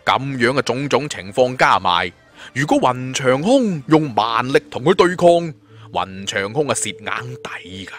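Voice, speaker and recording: A man's voice: mid-range voice, big pitch swings, a little slow, fairly bright tone. A man with a Cantonese accent narrating a martial fantasy tale in a theatrical, colloquial audiobook style. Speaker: storyteller. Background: background music.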